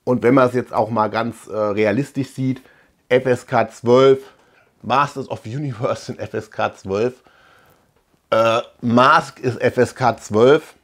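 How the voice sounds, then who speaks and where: A man's voice: medium pitch, fairly even intonation, measured pace. A man in his forties from Germany, hosting a video in a casual, conversational way with musing asides. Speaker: host of a retro film and cartoon collecting channel. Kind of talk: casual chat to camera. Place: small room lined with shelves, indoors.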